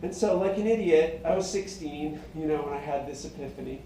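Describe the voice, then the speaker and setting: A middle-aged singer-songwriter with a high tenor voice, talking in a meandering, reflective way full of fillers and restarts. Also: male voice, brisk, mellow, a little hiss or hum. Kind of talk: rambling casual monologue. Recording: very echoey room, mic at a distance.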